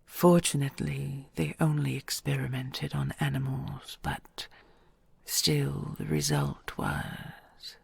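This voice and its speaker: Woman voicing a husky, creaky, whispering ancient dragon queen. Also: extremely low pitch, sing-song, dry recording, full character voice. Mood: musing, grave. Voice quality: husky, breathy, half-whispered with gravelly creak. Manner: stately, measured, formal and intimate endearments. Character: ancient, tender, regal female dragon queen, devoted and wistful. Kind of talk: intimate audio roleplay monologue.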